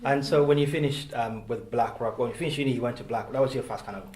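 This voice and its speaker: Guy hosting a podcast at a table, energetic, lots of yeahs, bit of echo. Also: medium pitch, fast. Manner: upbeat, lots of affirming interjections. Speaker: podcast host. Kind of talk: interview podcast. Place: meeting room at a round table.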